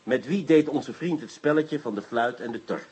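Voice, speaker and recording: A man playing an excitable, bossy police inspector in a radio drama, blustering and commanding. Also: mid-range voice, moderate pace, slightly rough. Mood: firm, businesslike. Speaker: actor in a radio play. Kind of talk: Dutch radio drama acting.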